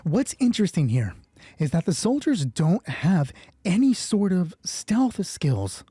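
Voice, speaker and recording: A man's voice: high voice, sing-song, a little slow, dark tone, breathy edge, no echo. A man narrating a solo tabletop game as game master, thinking aloud and asking himself questions that he then answers. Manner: deliberating, asks himself questions and answers them.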